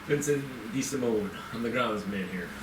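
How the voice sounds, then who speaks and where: Man acting evasive fifty-something male groundskeeper, hesitant, lowish voice, echoey noisy recording. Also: moderate pace, close to an everyday voice. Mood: guarded. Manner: guarded, hesitant, flustered. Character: nervous, evasive 55-year-old male manor groundsman. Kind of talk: amateur screenplay table read. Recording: echoey, distant mic, clear noise.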